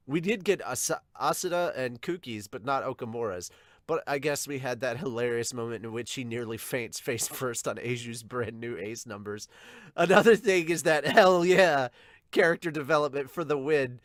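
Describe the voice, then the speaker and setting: Man in casual, halting reaction chat, dry sound. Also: mid-range voice, no room sound. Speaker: podcast host reading and discussing viewer comments. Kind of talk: casual reaction chat, reading comments aloud.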